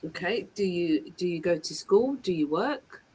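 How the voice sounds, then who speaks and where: A woman's voice: on the low side, expressive, normal speed, mellow, husky, rough recording. A woman from England, tutoring a learner one to one and repeating and rephrasing her questions patiently. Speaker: English tutor. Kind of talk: online one-to-one English lesson.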